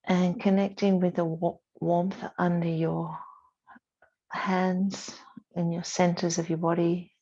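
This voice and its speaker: Woman yoga teacher, lowish pitch, very flat intonation, soothing guided-practice delivery, short cues with pauses. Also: muffled recording. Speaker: yoga instructor. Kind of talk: guided yoga and relaxation instruction.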